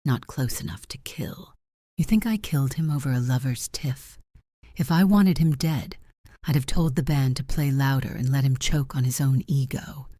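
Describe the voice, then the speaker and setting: A woman plays a world-weary torch singer in a noir radio drama. Her voice is strikingly low and dark, guarded and wry, in a dry recording. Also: normal speed, dry recording. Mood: defiant. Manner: wry, cool, hard-edged.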